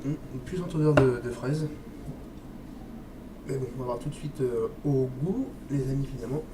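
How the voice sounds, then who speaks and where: A man with a slight head cold talks his way casually through a review, hesitating and filling gaps with little interjections. He sits back from the microphone, so his middle-pitched voice carries a roomy echo over a steady hum of background noise. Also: moderate pace. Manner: chatty, hesitant, says "les amis" and "hop" often. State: stuffy with a cold, coughing. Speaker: vape liquid reviewer. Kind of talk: casual product review vlog. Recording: echoey room, distant mic, noisy.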